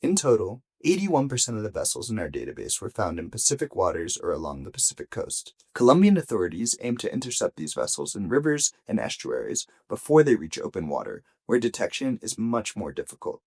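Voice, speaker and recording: A man reading a scripted audio news investigation as a journalist, with measured, deliberate phrasing and emphatic pauses. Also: on the low side, huge pitch swings, gravelly, darkish tone, husky.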